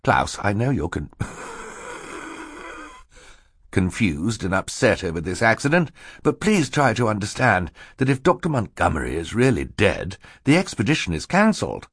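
A man reading an audiobook as a fussy, stern, easily flustered gentleman who admonishes pompously. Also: fairly low pitch, very animated, normal speed, husky, dry recording, clean studio sound, voice pushed up, exaggerated voice. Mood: officious. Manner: admonishing, pompous, punctuated by coughing. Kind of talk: audiobook character acting.

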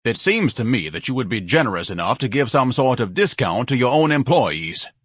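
A man's voice: medium pitch, expressive, fairly quick, fairly bright tone, breathy edge, no room sound, clean studio sound. A man voicing a pompous, self-pitying, overeducated fat man who speaks in grand, ornate phrases and is easily outraged, in an audiobook. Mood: self-important.

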